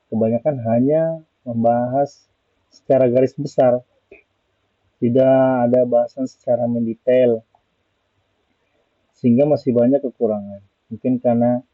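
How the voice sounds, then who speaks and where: The audio is thin and narrowband like a phone line, with very little room sound. An Indonesian man with a slightly rough, husky voice presents slides in an informal way. He explains point by point, haltingly, often repeating himself. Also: medium pitch, dry recording. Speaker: student presenter reviewing an article.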